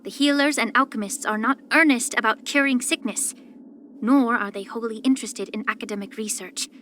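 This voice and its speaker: A woman voicing a poised, soft-spoken, subtly sly young female healer in a video game, with measured, gentle, composed delivery. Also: on the high side, a little hiss or hum, lightly acted. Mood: grave, measured. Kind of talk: scripted character voice lines.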